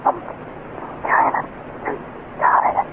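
An actor playing a weakened, wisecracking adventurer in a 1950s radio drama, with slangy, halting speech. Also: male voice, lightly acted. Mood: fading, tender. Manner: slangy, halting, joking bravely. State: weak, gasping, fading. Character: wounded tough wisecracking adventurer sidekick, tender and weary. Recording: muffled, noisy 1950s radio recording.

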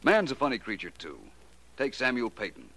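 An actor playing a shrewd, confident gentleman detective in a 1940s radio drama, articulate and assured. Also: medium pitch, very animated, moderate pace, heavily acted. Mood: wry, satisfied.

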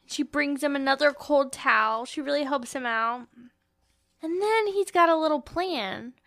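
A woman's voice: sits high, measured pace, fairly bright tone, no echo, studio quality. A woman co-hosting a podcast, casually and playfully retelling a book and dramatizing as she goes. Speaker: podcast co-host recapping a novel. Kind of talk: casual book-recap chat with read-aloud dialogue.

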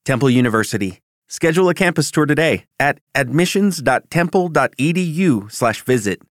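A man giving a polished, smooth promotional read in a slightly raised voice. The recording is dry, with no room sound. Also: fairly high pitch, expressive, fairly quick, dry recording. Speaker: ad announcer.

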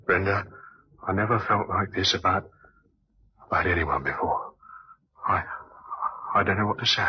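A man acting in an old radio drama as a young crook, with halting, hesitant speech. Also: low-pitched, lightly acted. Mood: smitten. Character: young male crook, shy lover turned coldly menacing. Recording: muffled old radio recording, faint noise.

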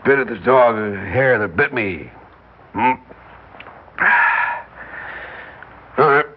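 An actor playing an evasive, slangy down-and-out drunk with slurred speech in a 1960s radio drama. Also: male voice, mid-range voice, expressive, measured pace. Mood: tipsy, rambling. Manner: slurred, rambling, slangy tipsy talk. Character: drunken, evasive down-and-out barfly man in hiding. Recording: dull, noisy 1960s radio recording.

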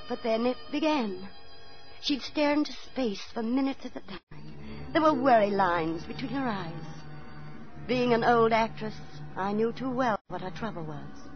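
A kindly, aging former actress played by a woman speaks in a fairly high voice. She is motherly and theatrical, in 1940s radio drama style. The recording is dull and old, with music behind her. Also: natural voice. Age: older. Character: kindly older former actress, landlady recalling a tragedy.

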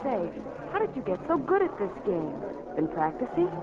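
A woman's voice: medium pitch, big pitch swings, normal speed, close to an everyday voice. A spirited young woman voiced by an actress talks with wry self-possession and widely moving intonation. It is a dull 1940s radio drama recording with a busy crowd behind her. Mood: firm. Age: young adult.

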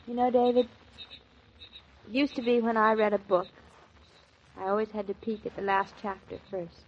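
An actress in a 1940s radio drama playing a playful, tender young newlywed wife, with a bright, teasing and dreamy way of talking on an old transcription. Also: female voice, medium pitch, flat delivery, normal speed, highs cut off, natural voice. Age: young adult.

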